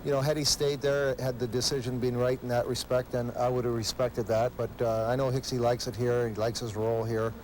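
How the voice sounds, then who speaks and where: A man with an average-pitched voice answers interview questions as a hockey coach. He is conversational and plain-spoken, with some ambient noise behind him. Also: little pitch movement, a little fast, few pauses, a little mellow, low-quality recording. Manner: plain-spoken, matter-of-fact. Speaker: ice hockey head coach.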